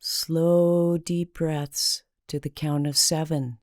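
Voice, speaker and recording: A woman leading a guided meditation in a calming, deliberate manner with long pauses between phrases. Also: low voice, no room sound, clean studio sound.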